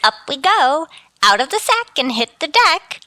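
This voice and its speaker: A woman plays a chirpy, absent-minded landlady in a radio comedy. Her voice is bright and lilting, leaping around in pitch in a motherly, dithery way. The recording is close and dry. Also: very animated, a little slow, no echo, heavily acted. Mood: chirpy, rousing. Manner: sing-song cheer, dithery and absent-minded. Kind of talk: scripted radio sitcom acting.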